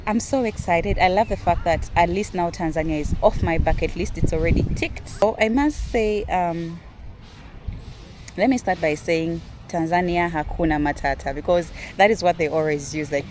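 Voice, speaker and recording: A young Ugandan woman with a Ugandan accent, chatting excitedly to her viewers in a casual outdoor vlog from a garden. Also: fairly low pitch, normal speed, a little hiss or hum. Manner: excitable, chatty, addresses viewers as "you guys". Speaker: vlogger. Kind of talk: casual vlog talk to camera.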